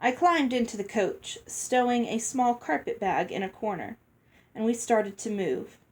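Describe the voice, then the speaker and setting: A woman reading a children's novel aloud as an expressive storybook narrator. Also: fairly high pitch, measured pace. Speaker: audiobook reader.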